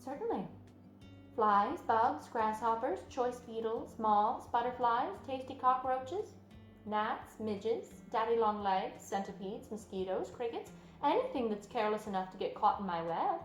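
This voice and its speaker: A woman acts out a storybook lady spider, high and thin of voice. She is pleasant and precise, calmly bloodthirsty and sure of herself, swooping through her words over music. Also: unhurried. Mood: relishing, pleasant. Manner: matter-of-fact, self-assured, precise. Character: pleasant, bloodthirsty female spider, clever and unapologetic. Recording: roomy sound with music underneath.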